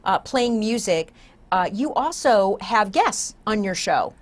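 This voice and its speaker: A woman's voice: mid-range voice, lively intonation, slow pace. An older woman with an American accent, hosting a conversational interview as a talk show host. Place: small indoor TV studio.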